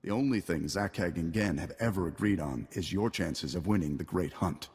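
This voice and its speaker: Deep, husky training master. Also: male voice, moderate pace, a little mellow. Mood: dry. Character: dry, gruff male training master. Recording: long reverb.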